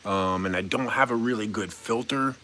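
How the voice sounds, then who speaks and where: A man in his late forties with a somewhat low voice, talking easygoing and unscripted on a live stream. He reads viewers' comments aloud, then rambles through his answers in a goofy, relaxed way, chuckling now and then. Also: moderate pace, a little hiss or hum. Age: 40s. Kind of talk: casual live-stream chat.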